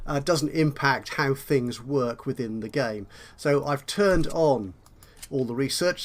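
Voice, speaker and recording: A man giving an unscripted tutorial commentary on a video game, explaining step by step with hesitant asides and self-corrections. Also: mid-range voice. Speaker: tutorial host.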